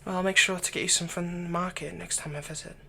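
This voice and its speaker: A man playing a doting, lightly teasing fantasy villain captor in an ASMR roleplay, speaking softly and tenderly, coaxing the listener without hurry. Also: high-pitched, little pitch movement, gravelly, slight background noise, exaggerated voice. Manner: soft, coaxing, unhurried, tender.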